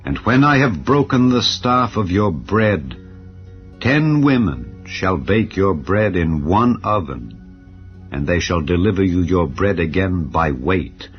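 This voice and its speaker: Male narrator, very low pitch, solemn measured scripture reading; muffled audio, quiet music bed. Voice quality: deep, measured and grave. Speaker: scripture reader. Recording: dull audio with soft music. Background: soft ambient music.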